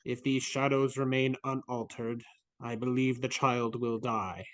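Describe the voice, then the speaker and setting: A man's voice: medium pitch, fairly even intonation, measured pace, no room sound, lightly acted. A man voicing a solemn, stern Christmas spirit in a live dramatic reading.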